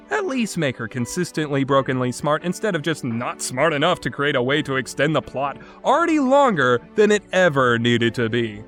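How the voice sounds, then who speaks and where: A man's voice: fairly high pitch, a bit bright. A man giving an opinionated video game review in an exasperated, sarcastic and emphatic way, with casual phrasing.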